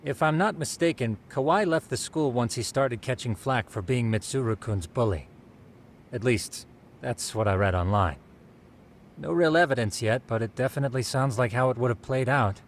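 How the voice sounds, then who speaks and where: An actor playing a precise, bookish researcher in an English game dub, speaking formally and methodically. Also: male voice, mid-range voice, normal speed, slight background noise, lightly acted. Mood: analytical. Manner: formal, exact, explanatory. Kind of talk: English video game dub cutscene acting.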